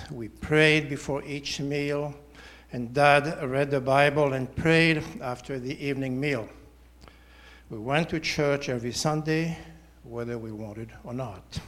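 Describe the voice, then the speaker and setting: Man in his seventies, unhurried measured testimony, deliberate delivery, mid-range pitch. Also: fairly bright tone. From Switzerland. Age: 70s. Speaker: church member giving testimony. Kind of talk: prepared personal testimony to a congregation.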